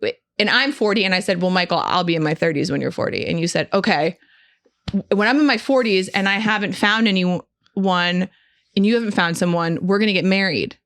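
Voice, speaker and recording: A woman's voice: mid-range voice, a little fast, fairly bright tone, no room sound, studio quality. A woman in her thirties, a comedian guest, chatting casually and emphatically, acting out quoted dialogue as she tells stories. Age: late 30s. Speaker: stand-up comedian, podcast guest. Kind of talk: casual chat, storytelling.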